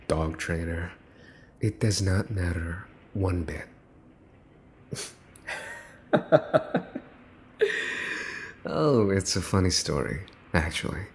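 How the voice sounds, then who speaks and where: Man acting a slow, smug young mafia heir. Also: sits low, a little hiss or hum, heavily acted. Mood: amused, menacing. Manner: slow, teasing, theatrical drawl with pauses. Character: smug, theatrical young mafia boss's son, easily exasperated. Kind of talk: ASMR boyfriend roleplay acting.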